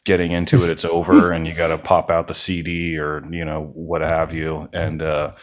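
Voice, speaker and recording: A man's voice: extremely low pitch, completely flat delivery, normal speed. A man, speaking as a guest in a casual interview. He rambles a little and hedges his points, circling toward what he means. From United States. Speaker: band member, podcast guest.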